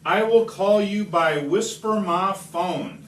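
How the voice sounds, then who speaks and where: Man reading as a slow, secretive old storybook hermit. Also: sits high, voice pushed up. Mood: secretive, confiding. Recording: roomy, distant home video with slight noise.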